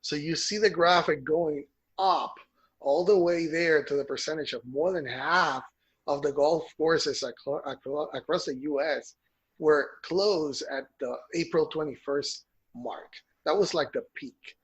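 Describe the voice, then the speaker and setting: Husky man walking through a chart. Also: on the high side, measured pace. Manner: explains a chart step by step, with restarts. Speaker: co-host of a golf news show. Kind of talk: casual chat.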